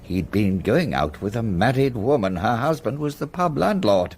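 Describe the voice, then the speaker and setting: An actor playing an older, old-fashioned English solicitor in a radio play, speaking in a formal, guarded way with an English accent. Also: male voice, mid-range voice, big pitch swings, moderate pace, a little mellow, a little hiss or hum. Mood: reminiscing.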